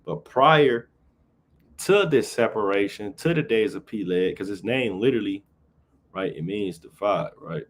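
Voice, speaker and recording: Guy with a really deep voice doing a casual bible lesson, keeps saying right?. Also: animated, unhurried. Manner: tags nearly every phrase with "right?"; reads verses aloud then explains them conversationally. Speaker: Bible teacher. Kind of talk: informal scripture teaching on a livestream.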